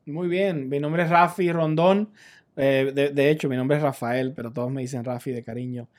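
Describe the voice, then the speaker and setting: Male pastor, mellow tone, unhurried and thoughtful, lots of fillers. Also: mid-range voice, fairly even intonation. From Puerto Rico. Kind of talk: casual podcast conversation.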